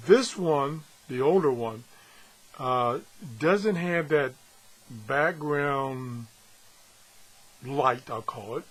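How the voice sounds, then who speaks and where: A man talks through a product review in a husky voice that sits a bit high. His delivery is casual and halting, as if working things out aloud while he handles the item. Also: a bit bright, poor audio. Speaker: gadget reviewer and video host.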